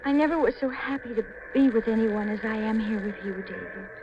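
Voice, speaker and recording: A woman's voice: a little high, a little fast, noisy background, natural voice. An American woman playing an anxious young aspiring actress and reluctant accomplice in a 1950s radio mystery, earnest, hesitant and dramatic. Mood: earnest, pleading. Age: young adult. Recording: muffled, lo-fi 1950s radio recording.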